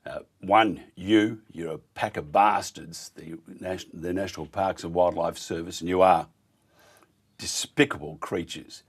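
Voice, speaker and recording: A hoarse Australian man hosting a live talk radio show, blunt and ranting, mocking others in put-on voices. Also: sits low, fairly even intonation, measured pace. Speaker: radio host.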